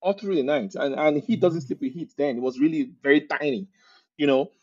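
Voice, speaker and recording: A man with a Nigerian accent speaks as a podcast guest in a slightly raised, husky voice. He tells his stories with animation and keeps breaking into laughter partway through sentences. The recording sounds dull and lo-fi. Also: on the high side, a little fast. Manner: animated storyteller, often laughing mid-sentence. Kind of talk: casual interview conversation.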